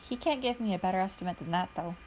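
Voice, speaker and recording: A woman's voice: medium pitch, fast, a little mellow, a little hiss or hum, close to an everyday voice, low-quality recording. A woman reading aloud, voicing a tired, stressed teenage girl who speaks in a weary, blunt way.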